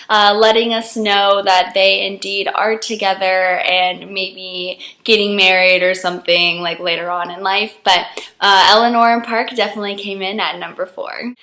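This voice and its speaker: Froggy-voiced woman with a cold, slow and bright. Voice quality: froggy, deeper than usual. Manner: gushing, enthusiastic. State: hoarse from a cold. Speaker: book vlogger. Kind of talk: casual chat to camera.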